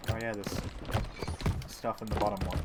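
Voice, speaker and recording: A man's voice: on the low side, fairly even intonation, normal speed, very noisy. A man chatting casually as he live streams a game, by turns muttering to himself and teasing his viewers. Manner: playful, teasing banter with chat. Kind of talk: casual gameplay stream chat.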